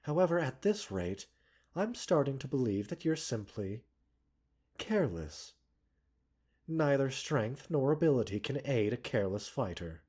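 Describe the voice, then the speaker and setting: A man playing a gentle, caring doctor in a roleplay ASMR, speaking softly and breathily with measured, polite, gently chiding formality. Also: mid-range voice, very animated. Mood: concerned, chiding. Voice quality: soft, breathy. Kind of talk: roleplay ASMR, scripted character acting.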